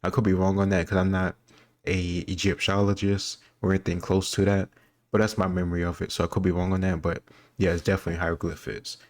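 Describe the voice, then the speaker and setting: A deep-voiced man talking casually and thinking out loud as he goes. His delivery stays very even and he hedges his points as he rambles. The recording is close and dry. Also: fast, no room sound. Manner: rambling, musing, self-qualifying. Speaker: host reacting to quiz questions. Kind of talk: casual commentary on history trivia.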